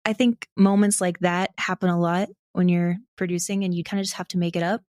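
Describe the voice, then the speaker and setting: A woman's voice: lowish voice, a little fast, no room sound, studio quality. A woman guest speaking casually and candidly in an interview, often saying "like" and acting out her own thoughts. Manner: candid, animated self-quoting, frequent "like". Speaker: podcast guest, audio drama creator.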